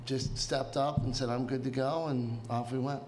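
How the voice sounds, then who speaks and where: Middle-aged coach at a press mic. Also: male voice, medium pitch, fairly even intonation, darkish tone, a little hiss or hum. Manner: measured, hesitant answers with many fillers. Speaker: head coach. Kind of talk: post-game press conference. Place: press room before a sponsor backdrop. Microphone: table microphone.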